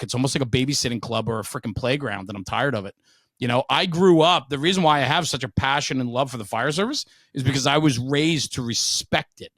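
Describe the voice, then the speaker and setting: A man's voice: mid-range voice, fairly bright tone, dry recording. A middle-aged man telling stories casually as an interview guest, frank, emphatic and opinionated. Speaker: podcast guest, veteran firefighter and speaker.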